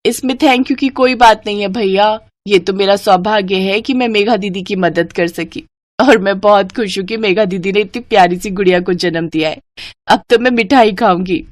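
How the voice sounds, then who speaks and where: A woman voicing a kind young vegetable seller in an animated story, speaking brightly and eagerly. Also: mid-range voice, moderate pace, no room sound, studio quality, lightly acted. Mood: reassuring.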